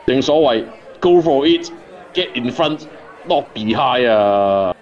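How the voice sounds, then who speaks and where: An actor playing a brash, street-wise bartender in a Cantonese radio drama, with a Hong Kong accent. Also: male voice, mid-range voice, lively intonation, slow, lo-fi sound, a faint crowd. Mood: brash, preachy. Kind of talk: radio drama acting, bar banter.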